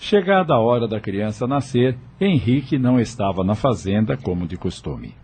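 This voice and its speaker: A man's voice: mid-range voice, lively intonation, normal speed, a little mellow, soft background music. A man narrating a scripted audio novel in a dramatic storytelling manner.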